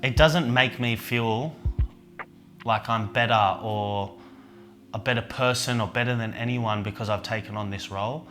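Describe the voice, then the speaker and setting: A man's voice: mid-range voice, normal speed, over music. A man, an event organiser and men's coach, talking earnestly and reflectively in a documentary interview. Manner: soft-spoken, earnest, with fillers.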